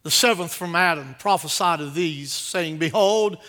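A man preaching a sermon to a congregation, exhorting emphatically with repeated phrases and swinging between quieter asides and loud declarations. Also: on the high side, normal speed.

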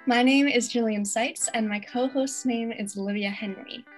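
A woman's voice: on the high side, normal speed, poor audio. Young woman reading a podcast intro. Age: young adult. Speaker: podcast host. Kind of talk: scripted show intro. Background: faint guitar music.

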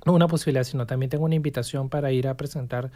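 A university language professor, interviewed as a guest, explaining at length in measured sentences with frequent restarts. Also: medium pitch, fairly even intonation, mellow. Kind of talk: casual interview chat.

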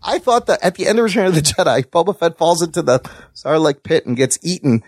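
Male podcast host, enthusiastic fan delivery, very animated pitch, dry studio-close sound. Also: quick pace, no room sound. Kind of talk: casual entertainment news chat.

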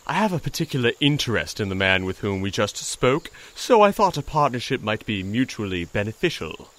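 An actor voicing an enormous talking toad, dignified, cryptic and dryly witty, with formal, measured speech in an audio drama. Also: male voice, lowish voice, big pitch swings, a bit bright.

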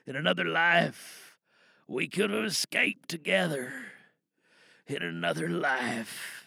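A man playing a dying, bitter rebel in an audio drama, with a gravelly voice and weary, halting, pained delivery. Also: fairly high pitch, expressive, pushed and bright, no room sound, clean studio sound, full character voice. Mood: pained, resigned. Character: dying, bitter, angry cyberpunk rebel recording a last message.

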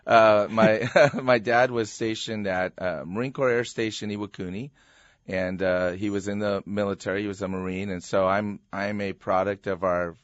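A middle-aged American pastor sharing his story in a relaxed, chuckling conversational way. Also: on the low side, normal speed, no room sound. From USA. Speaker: pastor, interview guest. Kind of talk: personal storytelling. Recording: muffled, dry radio studio sound.

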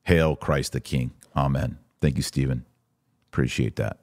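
A man's voice: rumbling low, fairly even intonation, measured pace, a little mellow, no echo, studio quality. A man hosting a live podcast, reading listener comments and giving informal, opinionated, emphatic commentary. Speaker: podcast host.

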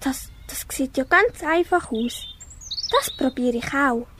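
A woman's voice: high voice, lively intonation, a bit bright, exaggerated voice. A young girl with a Swiss German accent playing a small, lonely, curious kitten in an amateur school radio play, meowing and earnest. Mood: stubborn, then panicky. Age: child or young teen. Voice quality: childlike, meowing. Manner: slow, earnest story-acting with meows. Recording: faint background noise.

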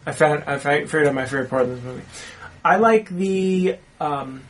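A man's voice: a little high, a little fast. A man chatting casually as a panelist in a film discussion, with a wry, teasing way of talking. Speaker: panelist on a movie discussion show. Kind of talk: casual group chat.

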